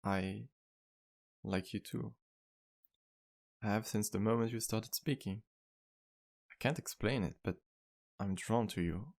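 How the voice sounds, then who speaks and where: Male actor as gentle vampire bartender, low gravelly voice, soft-spoken, earnest, wistful, flirtatious, intimate ASMR roleplay. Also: slow, pauses often, exaggerated voice. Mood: tender, flirting. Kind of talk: ASMR roleplay, intimate one-sided dialogue to listener.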